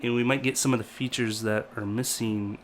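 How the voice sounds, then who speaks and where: A man's voice: medium pitch, steady tone, brisk, slight background noise. A man co-hosting a casual wrestling review show, talking loosely while getting over a cold that leaves him stuffy. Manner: rambling, loose and chatty. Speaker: co-host. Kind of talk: casual chat.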